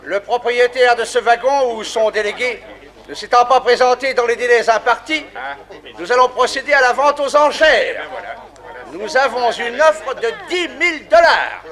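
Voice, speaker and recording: A man's voice: very high voice, moderate pace, pushed and bright. An actor playing a male auctioneer who declaims formally to a crowd in a radio drama. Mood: declaiming. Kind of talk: 1950s radio drama acting.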